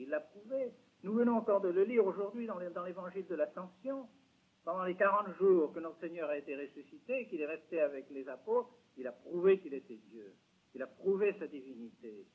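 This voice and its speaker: A man's voice: sits high, breathy edge. An older man giving an emphatic religious lecture, with rhetorical questions and repeated words. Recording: tinny lo-fi 1970s lecture recording.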